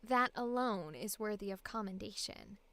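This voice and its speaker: A woman voicing an arrogant villain with a slow, commanding, theatrical delivery, recorded close on a studio mic. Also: medium pitch, lively intonation, fairly bright tone, a little hiss or hum. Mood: cold, menacing.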